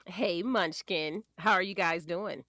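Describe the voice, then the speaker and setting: A woman's voice: fairly low pitch, slow pace, bright, forceful tone, no echo, studio quality. A woman playing an affectionate, sassy wife and mother in an audio drama, with playful delivery. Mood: playful, fond.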